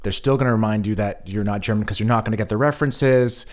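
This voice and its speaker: American guy cohosting a podcast, low voice, kinda stammery and thoughtful. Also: fast. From United States. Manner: thoughtful, stammers over starts. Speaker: podcast co-host. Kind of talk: casual chat.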